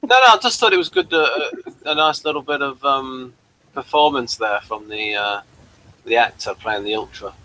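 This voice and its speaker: A man's voice: mid-range voice, expressive, moderate pace, pushed and bright, rough recording. A man co-hosting a casual TV review chat, making understated, somewhat hesitant remarks. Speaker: co-host of a TV review show.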